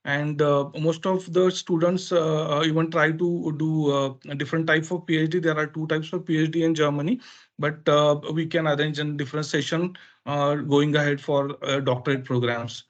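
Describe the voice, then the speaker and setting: A man with an Indian accent, a native Marathi speaker, explaining things informally as a webinar presenter in halting, filler-heavy phrases. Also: fairly high pitch, level tone, lo-fi sound. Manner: halting, hesitant phrasing with frequent filler pauses. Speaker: education consultant presenting a webinar.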